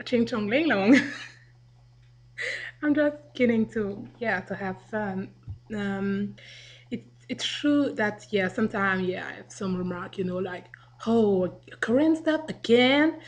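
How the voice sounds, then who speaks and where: A young woman from Africa, chatting casually to a home webcam, rambling and laughing as she acts out quotes. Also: mid-range voice. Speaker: vlogger. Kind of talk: casual video response to the camera.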